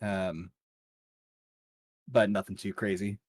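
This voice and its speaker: A man running a tabletop game as game master, narrating and explaining rules in a casual, halting way. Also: low voice, little pitch movement.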